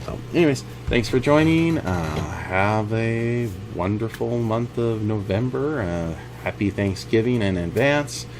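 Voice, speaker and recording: A man's voice: fairly low pitch, animated, a little slow, few pauses, background noise. A man giving an informal update to viewers as an indie game developer. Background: music behind the voice.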